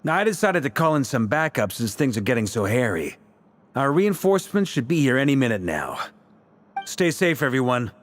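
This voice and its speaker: A man's voice: medium pitch, sing-song, normal speed, slight background noise, lightly acted. A man voicing a laid-back, wry and confident commander in a video game. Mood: relaxed, assured. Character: easygoing seasoned male commander, wry and confident. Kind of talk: game dialogue acting.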